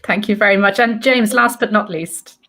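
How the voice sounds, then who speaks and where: A woman with an Irish accent hosting a casual panel discussion, chatty and quick. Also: mid-range voice, a bit bright. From Ireland. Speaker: panel host, crime writer.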